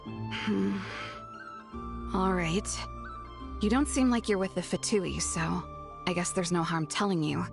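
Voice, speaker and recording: An actress playing a guarded, conflicted young female scout in a video game, brusque and at times halting. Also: lowish voice, gravelly, music in the background.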